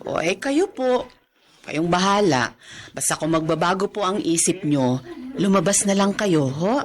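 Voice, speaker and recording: An actress playing a polite, solicitous care-home worker in a radio drama. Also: female voice, on the low side, animated, a little slow.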